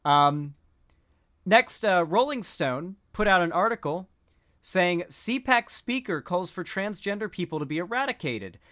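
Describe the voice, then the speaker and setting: A man's voice: high voice, expressive, a little slow, fairly bright tone. A man giving casual political commentary, rambling with frequent restarts.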